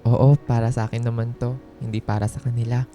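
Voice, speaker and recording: A man's voice: mid-range voice, level tone, fairly quick, mellow, soft background music, close to an everyday voice. An actor playing a worn-down but resolute male office worker in a radio drama, hesitant and heartfelt.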